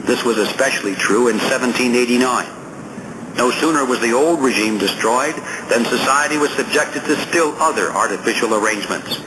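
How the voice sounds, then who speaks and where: A man narrates an audiobook over clearly audible background noise. His delivery is formal and oratorical, with deliberate emphasis on the written phrases. His voice sits at a medium pitch. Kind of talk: scripted narration, reading a political essay.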